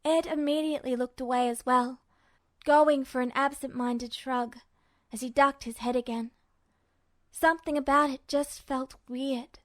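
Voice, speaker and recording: A woman's voice: high-pitched, fairly even intonation, a little slow, a bit bright, dry recording. A woman reading scripted fiction aloud as the narrator of a story. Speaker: podfic narrator.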